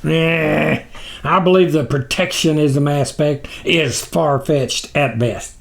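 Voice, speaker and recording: A man's voice: fairly high pitch, fairly even intonation, takes it slowly, gravelly. A man voicing a self-assured, dismissive demon agent with a formal manner in a storybook reading. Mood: smug, formal.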